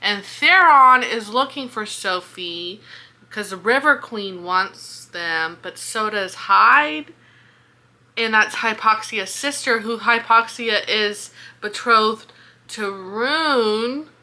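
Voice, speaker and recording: Bright, high-voiced young woman thinking aloud. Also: slow. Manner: animated, swearing, exasperated. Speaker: book reviewer reading along. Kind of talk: casual chat, thinking aloud.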